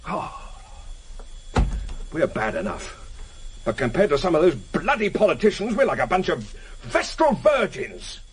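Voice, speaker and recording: Sardonic secret agent, lively delivery, faint hiss. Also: male voice, fairly high pitch, a little slow, pauses often. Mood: bitter sarcasm. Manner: dry, sardonic, terse. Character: cynical, guarded, stubborn male secret agent. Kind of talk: radio drama acting. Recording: slightly noisy vintage radio recording.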